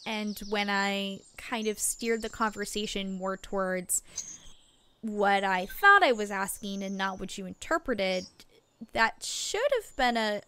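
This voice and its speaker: A woman playing an encouraging, gently instructive local reporter in an audio drama, speaking in a measured, conversational way. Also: mid-range voice, a little hiss or hum.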